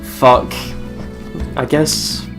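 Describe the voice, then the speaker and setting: A woman's voice: extremely slow, over music. A young woman hosting a let's play, chatting casually and expressively as she comments on the game, with plenty of swearing.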